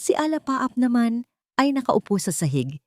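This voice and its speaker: A woman's voice: fairly high pitch, sing-song, moderate pace, dry recording, studio quality. A woman with a Filipino accent reading a scripted, dramatic story as narrator.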